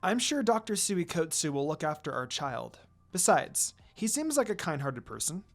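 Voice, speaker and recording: A storyteller voicing a gentle, affectionate young expectant mother who is a cheerful, trusting priestess in a fan fiction. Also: medium pitch, big pitch swings, normal speed, vocal fry, soft background music. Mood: soothing, cheerful.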